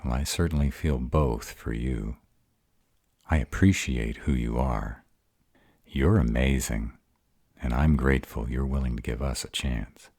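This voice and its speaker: A man voicing a bashful, awkward male were-dragon in love, halting and earnest, in a romantic roleplay audio. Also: rumbling low, a little mellow, husky, no echo, clean studio sound, exaggerated voice. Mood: awkward, eager.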